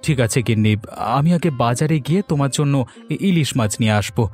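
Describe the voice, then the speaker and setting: A man playing a mild farmer, lower-voiced. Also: fast talker, a little mellow, faint music behind, lightly acted. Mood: obliging, homely. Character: mild, dutiful village farmer husband. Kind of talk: Bengali cartoon story dialogue.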